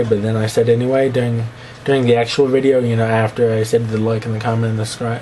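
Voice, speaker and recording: A man's voice: darkish tone, poor audio. A young man with a slight lisp rambles to camera in a loose vlog, jumping between topics and mocking himself. His voice sits in an ordinary middle register, with a faint hiss behind it. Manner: rambling, self-mocking, breaks into squeaky put-on voices. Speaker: vlogger. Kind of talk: rambling casual monologue to camera.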